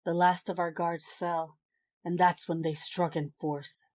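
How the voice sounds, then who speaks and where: A woman's voice: fairly low pitch, flat delivery, a little slow, darkish tone, close to an everyday voice. A woman playing the grave, resolute mayor of an embattled city in an audio drama, earnest and firm. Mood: grave resolve.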